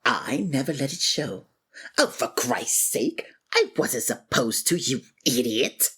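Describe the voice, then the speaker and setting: Breathy low-voiced woman in a villain roleplay. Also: very animated, slow pace, a bit bright. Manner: exasperated, theatrical villain monologue with sudden pitch swings. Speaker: ASMR voice actor playing a villain. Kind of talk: scripted ASMR roleplay.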